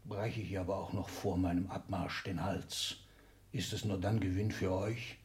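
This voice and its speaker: A man's voice: low-pitched, steady tone, normal speed. A man acting in a 1960s German radio play as an ailing, domineering old industrialist, speaking in clipped, imperious declamation with sudden outbursts. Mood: scheming, commanding. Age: older. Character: ailing, domineering old industrialist patriarch lecturing his children.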